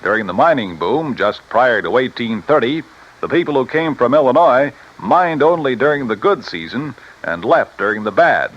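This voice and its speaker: American radio announcer, very animated man. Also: mid-range voice, moderate pace. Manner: formal, declamatory. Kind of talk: mid-century scripted radio announcing. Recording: dull vintage radio recording.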